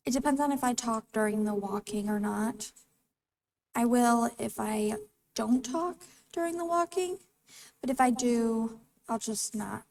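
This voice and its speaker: A woman's voice: a little high, a little mellow, far from the mic. A young-sounding woman hosting a gaming channel, rambling casually over the game. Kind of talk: let's play commentary.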